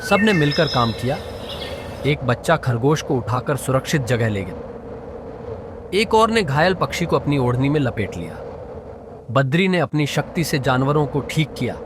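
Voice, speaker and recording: A man's voice: mid-range voice, big pitch swings, normal speed. A man narrating a scripted moral story for children as a storyteller. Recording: clearly noisy background.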